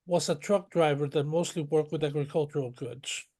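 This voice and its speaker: A man speaks as an interview guest. His voice sits fairly high for a man. He winds through his memories in long, detailed stretches, doubling back and restarting his sentences as he goes. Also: steady tone, normal speed, dark tone. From northern Mexico. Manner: rambling, detailed storytelling with many restarts. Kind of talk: conversational interview.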